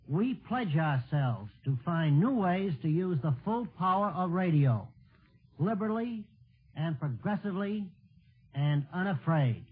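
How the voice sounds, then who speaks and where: Tinny 1940s radio man, earnest orator. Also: high-pitched. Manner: earnest, declamatory, measured oratory. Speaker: radio network executive giving an address. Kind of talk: formal scripted 1940s wartime radio speech. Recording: tinny narrow-band 1940s radio broadcast.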